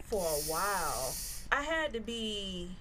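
A woman's voice: medium pitch, takes it slowly, background noise. A woman hosting a podcast, reacting and chatting casually with her guests. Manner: reactive, lively, laughs often. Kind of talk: casual chat.

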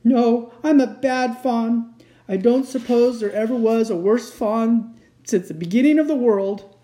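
A woman's voice: fairly high pitch, big pitch swings, normal speed, mellow, pitched above the performer's own voice. A woman voicing a sorrowful, guilt-ridden male fawn in a melodramatic, tearful storybook reading. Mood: sobbing, self-reproaching. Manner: tearful, self-reproaching, melodramatic.

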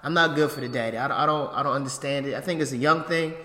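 A man's voice: mid-range voice, racing, pushed and bright. A young man co-hosting a casual chat podcast, playful and jokey, who stumbles over words. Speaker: podcast co-host. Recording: echoey room with long reverb.

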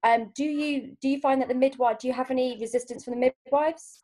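Woman podcast host, casual and chatty, filler-heavy, a bit high-pitched. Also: steady tone, brisk, mellow, rough recording. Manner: chatty, uses "like" and "um" often.

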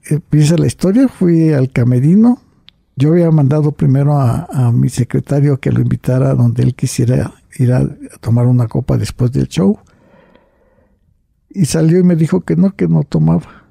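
A man's voice: fairly high pitch, moderate pace, creaky, mellow, slightly rough, no echo. An older man recounting personal memories in conversation, often adding "right?" and "you see?" and restarting his phrases.

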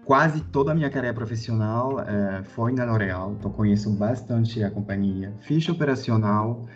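A man's voice: fairly low pitch, normal speed, highs cut off, soft background music. Frenchman speaking hesitant second-language talk. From France. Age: 30s. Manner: hesitant, many fillers and restarts, searching for words. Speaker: guest, corporate director. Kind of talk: podcast interview, telling his career story.

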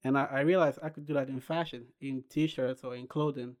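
Ghanaian man with a flat, even delivery. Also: medium pitch. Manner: unhurried storytelling with pauses and restarts. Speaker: podcast guest, clothing brand founder. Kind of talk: casual interview chat.

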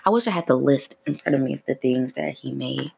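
A woman in her thirties chats freely on her own podcast, still sleepy from just waking up. Her voice sits very low with a gravelly fry. The sound is close and dry, with no room around it. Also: a little fast, no room sound. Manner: relaxed, free-flowing, with soft laughs. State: sleepy morning voice. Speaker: podcast host. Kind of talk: casual solo podcast chat.

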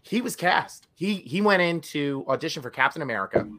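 Podcast co-host, male, raspy and recovering, bright tone, animated casual chat. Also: a little high, a little fast. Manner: animated, chatty, many restarts.

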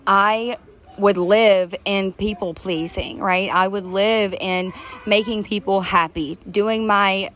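A woman with a deep voice, co-hosting a faith-based recovery podcast, talking earnestly and heartfelt to listeners, recorded outdoors on a back deck. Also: steady tone, slow pace, fairly bright tone, slight background noise. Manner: earnest, heartfelt, addresses listeners as "you guys". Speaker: podcast co-host.

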